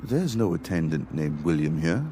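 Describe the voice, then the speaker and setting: Courteous club servant, radio play: deep, animated intonation, deferential, music underneath. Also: male voice, normal speed. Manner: formal, deferential. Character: polite, proper male gentlemen's club attendant. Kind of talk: dramatic radio play acting. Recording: music bed behind the voice.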